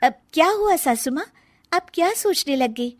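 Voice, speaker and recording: A woman doing a young snake-woman bride, high and fretful. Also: lively intonation, normal speed, heavy background noise. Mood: polite. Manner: polite, fretting, easily flustered. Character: young shape-shifting snake woman, a dutiful new bride.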